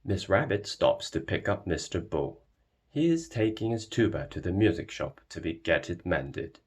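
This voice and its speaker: A man reading a children's storybook aloud in an expressive way. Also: deep voice, normal speed, husky. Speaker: storybook reader. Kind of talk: scripted children's storybook narration.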